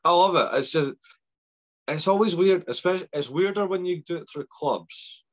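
Higher-pitched Scottish man, chatting casually. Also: normal speed. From Scotland. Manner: self-deprecating, informal. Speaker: podcast host interviewing athletes. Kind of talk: casual interview chat.

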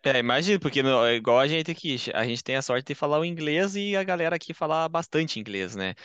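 A man co-hosting a podcast, asking open questions in a relaxed, casual way. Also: on the high side, fast talker, clear, resonant tone, no echo, dull audio. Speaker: podcast co-host. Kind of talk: casual conversation.

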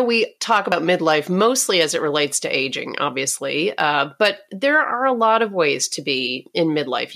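A woman with a slightly low voice hosting a podcast interview. She asks her questions with upbeat curiosity and laughs along with her guest. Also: a bit bright. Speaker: podcast host.

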